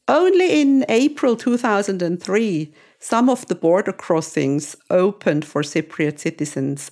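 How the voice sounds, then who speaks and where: A woman in her sixties from Switzerland, hosting a podcast and telling her memories in a reflective, nostalgic way. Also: on the low side. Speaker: podcast host. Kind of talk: memoir storytelling narration.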